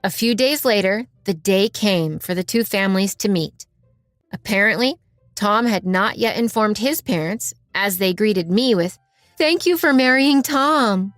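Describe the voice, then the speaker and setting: A woman's voice: mid-range voice, moderate pace, dry recording, clean studio sound, faint music behind. A woman reading a scripted first-person story in a dramatic narrating style. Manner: voices dialogue of several characters.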